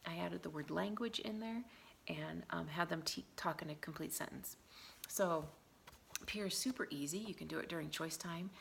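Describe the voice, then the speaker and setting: A woman's voice: fairly low pitch, normal speed, vocal fry, slight background noise. A woman teaching in an informal, explanatory way, as a trainer showing teachers how to prompt young children. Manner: acts out example questions as if to young children. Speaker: early literacy teacher trainer. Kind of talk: informal instructional talk with demonstrations of prompts to children.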